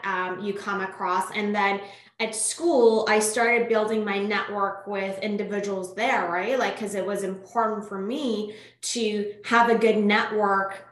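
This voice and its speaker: A woman's voice: medium pitch, a bit bright. A woman in her thirties, born in Iran with Farsi as her first language, speaking thoughtfully as a podcast guest with many fillers and restarts. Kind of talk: conversational interview. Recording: echoey room.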